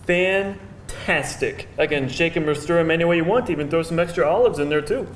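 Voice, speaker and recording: An actor playing a chatty, boastful, pushy bartender in a live screenplay table read. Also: male voice, on the high side, brisk, close to an everyday voice. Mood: eager, boastful. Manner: pushy, showy patter. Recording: roomy live venue, faint noise.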